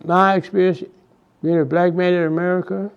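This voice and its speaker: A man in his nineties from Texas with a Southern accent, recalling his life in a halting oral history interview full of restarts and repeated words. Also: sits high, flat delivery, creaky, smooth, lo-fi sound. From Houston, Texas. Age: 90s.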